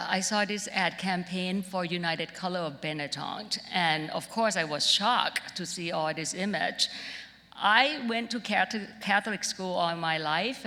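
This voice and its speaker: A woman's voice: on the low side, bright, forceful tone. A middle-aged woman from Thailand with a Thai accent, giving an informal, conversational keynote built on personal stories. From Bangkok, Thailand. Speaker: keynote speaker, creative director. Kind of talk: conference keynote, personal storytelling. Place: large auditorium stage. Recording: reverberant auditorium with long echo.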